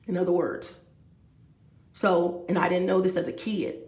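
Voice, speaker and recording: Woman in her 50s, vlogger with a gravelly voice, echoey room, rambling and chatty. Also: on the low side, fairly even intonation, unhurried, a little mellow. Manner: rambling, talks straight to viewers with asides. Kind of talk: rambling confessional vlog. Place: room with a bed, indoors.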